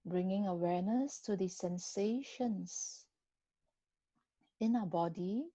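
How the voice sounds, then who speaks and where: A woman guiding a body scan meditation in a gentle, unhurried manner with long pauses between phrases. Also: mid-range voice, dry recording. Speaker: meditation guide.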